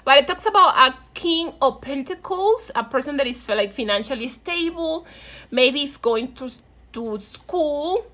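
A woman's voice: sits high, expressive, measured pace, pushed and bright. A woman from Venezuela with a Venezuelan Spanish accent, giving a casual, encouraging tarot reading and addressing viewers affectionately. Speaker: tarot reader.

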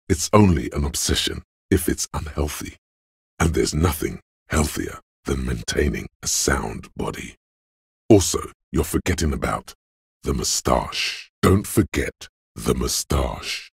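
A man playing a gruff, domineering inner voice of brute strength in a video game, blunt and deliberate in delivery. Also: sing-song, no echo, studio quality, full character voice. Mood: proud coaching. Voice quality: gravelly, husky bass. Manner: blunt, domineering gym-coach goading mixed with slow literary narration. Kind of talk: video game voice acting, scripted narration.

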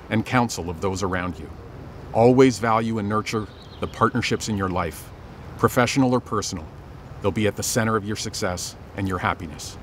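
Low-voiced middle-aged man, formal address. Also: slight background noise. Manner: measured, earnest, deliberate phrasing. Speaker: business school dean. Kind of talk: formal scripted commencement address. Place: outdoors on an open campus plaza.

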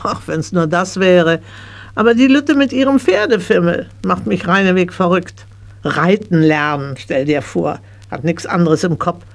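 A woman's voice: on the low side, measured pace. An actress playing a middle-aged, careworn shopkeeper mother in a children's radio play, grumbling and chiding. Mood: agitated, grumbling. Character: scolding, careworn shopkeeper mother of a horse-mad girl.

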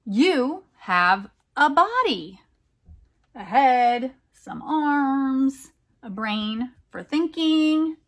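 A middle-aged American woman reading a picture book aloud to young children, with emphatic, deliberate phrasing and chatty asides. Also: high voice, lively intonation. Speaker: children's storytime reader, teacher.

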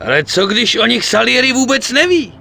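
An excitable, greedy gangster sidekick in a video game drama. His voice swings widely in pitch, with a forceful, gravelly edge. He wheedles and swears as he goes. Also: soft background music, heavily acted. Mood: excited, tempting. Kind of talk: dubbed video game cutscene acting.

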